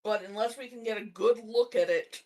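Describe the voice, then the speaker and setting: A woman's voice: medium pitch, moderate pace. A woman playing a tabletop roleplaying game, speaking casually in character with a dry, decisive manner.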